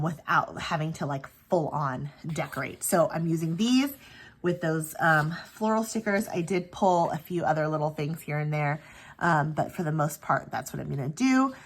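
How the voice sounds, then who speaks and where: A woman host chatting casually through her weekly planner setup, stuffy-nosed from a cold, with lots of ums and self-corrections. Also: low-pitched. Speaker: planner sticker channel host. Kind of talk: casual planning vlog chat.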